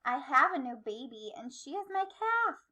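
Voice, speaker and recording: A woman voicing a gentle, tall mother giraffe who recites a measured rhyming riddle in a children's storybook. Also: sits high, very animated, heavily acted. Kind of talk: children's storybook read-aloud.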